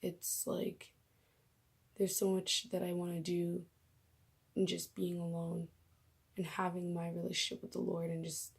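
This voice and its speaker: A young woman vlogging from her bedroom while doing her makeup, chatty and rambling, her voice raspy as she comes down with a cold. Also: lowish voice, monotone, measured pace, pauses often. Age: young adult. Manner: chatty, rambling, laughs at herself. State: coming down with a cold. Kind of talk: casual faith vlog.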